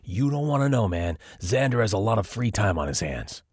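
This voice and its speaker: A man's voice: on the low side, very animated, fairly quick, a little mellow, husky, no echo, clean studio sound, pitched above the performer's own voice. A man voicing a casual, wry young man in an audiobook.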